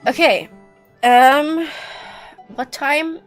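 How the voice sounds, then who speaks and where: A woman's voice: high voice, extremely slow. A woman with a stuffy nose giving casual, joking and blunt live commentary as she plays a video game. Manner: joking, blunt, reacting aloud. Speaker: game streamer. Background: game music.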